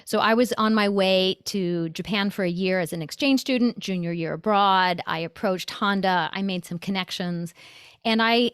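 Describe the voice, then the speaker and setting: A middle-aged woman, a consultant and author, tells her story as an interview guest. She is animated and laughs easily. Her voice is recorded close and dry, with almost no room sound. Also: medium pitch, moderate pace, clear, resonant tone, dry recording.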